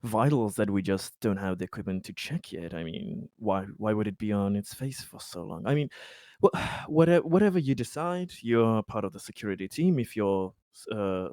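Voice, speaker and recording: Hesitant man with a lowish voice. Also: darkish tone, no echo, clean studio sound. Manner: hesitant, stammering. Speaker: player in a roleplaying game. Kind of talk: in-character improvised roleplay.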